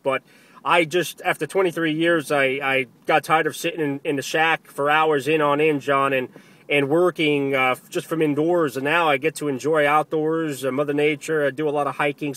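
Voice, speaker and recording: A man's voice: on the high side, fairly bright tone, dry recording. A man operating amateur radio, talking over the air and repeating phrases for emphasis. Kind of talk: ham radio contact, spoken to camera and over the air. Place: outdoors in the field.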